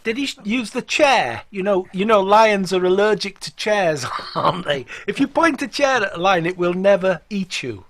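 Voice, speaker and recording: A man's voice: high-pitched, animated, moderate pace, hardly any pauses, fairly bright tone, dry recording. A man in casual banter on a video call, with a jokey, teasing way of talking. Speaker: folk club member.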